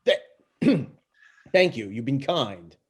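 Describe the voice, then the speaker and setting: Halting man in a casual script reading. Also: medium pitch, sing-song, slightly rough. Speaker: participant reading parts in a script club.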